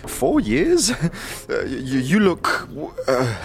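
A man's voice: fairly high pitch, wildly swooping pitch, full character voice. A man playing a bumbling, tipsy tavern drunkard who stammers and halts in a fantasy audio drama. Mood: flustered. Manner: stammering, halting.

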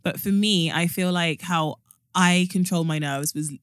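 A woman's voice: lowish voice, unhurried, clear, resonant tone, no echo, clean studio sound. A young woman, co-hosting a podcast and giving frank, joking advice. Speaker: podcast co-host. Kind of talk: casual podcast chat and advice.

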